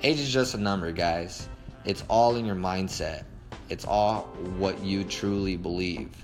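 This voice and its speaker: Low-voiced guy in his 30s hyping himself up on camera, keeps repeating stuff, soft music under it. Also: measured pace. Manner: emphatic, repeats himself, casual slang. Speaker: vlogger. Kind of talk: motivational talk to camera.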